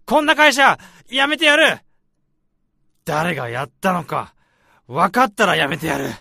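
A man's voice: sits high, big pitch swings, measured pace, vocal fry, sharp tone, heavily acted. A man playing a blunt, hot-tempered AI developer in a radio drama, with dramatic, emphatic delivery. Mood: furious. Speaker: lead character and narrator.

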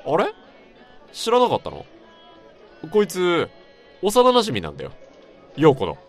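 Animated, sentimental male buddy. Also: on the high side, unhurried, faint music behind, full character voice. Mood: prodding. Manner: emphatic, colloquial, animated. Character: excitable, sentimental man, old school buddy. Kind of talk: radio drama dialogue, casual banter.

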